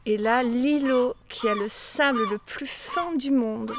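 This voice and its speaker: A woman's voice: on the high side, slow. Chatty woman recalling islands, noisy outdoors. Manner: chatty, reminiscing with hesitations. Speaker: volunteer housekeeper at a sailing base. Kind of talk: casual interview.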